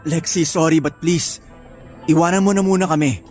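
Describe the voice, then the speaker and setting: A man's voice: fairly high pitch, fairly even intonation, moderate pace, lightly acted. An actor plays a guilty young boyfriend with a Filipino accent. He pleads and explains in an earnest, worn-out way, in radio drama style over faint background music. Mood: uneasy. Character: guilty, apologetic young boyfriend, weary and self-justifying.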